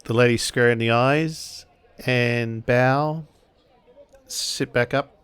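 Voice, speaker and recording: A man improvising third-person narration as a player in a tabletop role-playing game, halting as he thinks aloud. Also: medium pitch, steady tone, a bit bright, smooth.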